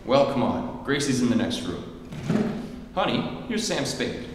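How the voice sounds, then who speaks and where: A dry, wry comedian husband. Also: male voice, medium pitch, measured pace. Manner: dry, wry deadpan delivery. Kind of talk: 1940s radio comedy acting, live stage. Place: theatre stage. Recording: echoey theatre, faint hiss.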